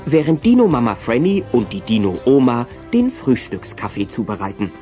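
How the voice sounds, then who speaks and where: A man's voice: medium pitch, huge pitch swings, moderate pace, warm tone. A man playing the narrator of a German children's audio play, reading scripted storybook narration. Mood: storytelling. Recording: lo-fi cassette sound over music.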